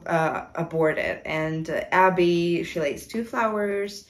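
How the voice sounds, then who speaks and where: A woman's voice: on the low side, takes it slowly. A woman in her twenties giving a casual, exasperated and sarcastic film review to camera in a room. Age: 20s. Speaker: video commentator. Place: small room indoors.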